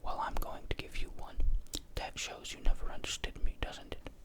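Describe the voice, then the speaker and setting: Menacing male ex-cop, bitter, sneering, whispered by a reader, hushed storybook voice. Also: normal speed, natural voice.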